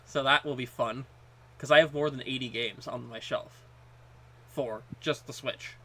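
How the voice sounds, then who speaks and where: A man co-hosting a podcast, chatting casually and haltingly, often repeating words as he goes. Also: mid-range voice, fairly even intonation, fairly bright tone. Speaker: podcast co-host. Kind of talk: casual chat about gaming.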